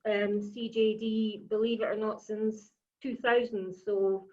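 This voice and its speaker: Even-toned woman on muffled call. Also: mid-range voice, a little slow. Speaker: national care coordinator, senior nurse. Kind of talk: casual video call introduction. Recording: dull lo-fi call audio.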